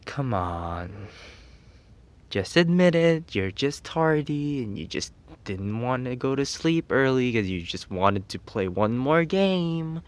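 A man's voice: medium pitch, lively intonation, slow pace. A young man playing a grumpy, teasing tsundere teenage best friend in an ASMR roleplay, scolding in an exasperated, whiny way.

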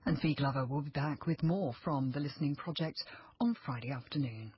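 A man's voice: fairly high pitch, brisk, breathy edge, no echo, dull audio. A British man reading scripted radio continuity announcements.

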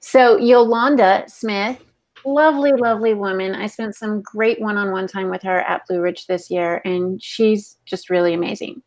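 A woman's voice: mid-range voice, moderate pace, a little mellow. A woman in her forties, chatty and informal, relaying listener questions as a podcast co-host on a video call. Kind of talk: casual chat and reading out questions. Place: small home room, indoors. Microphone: video call on earphones.

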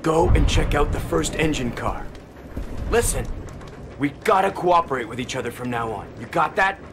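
An actor playing a cocky, cynical young convict in a video game, sardonic and brusque. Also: male voice, expressive, normal speed, over music, natural voice. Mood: urgent, impatient. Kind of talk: video game cutscene acting. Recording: rumbling train ambience behind.

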